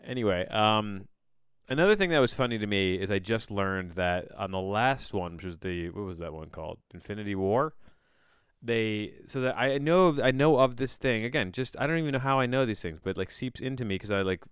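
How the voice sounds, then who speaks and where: A man hosting a solo podcast, chatting in a rambling, halting way, often backtracking mid-sentence with a bemused, self-deprecating manner. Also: lowish voice, smooth, dry recording, clean studio sound. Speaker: podcast host.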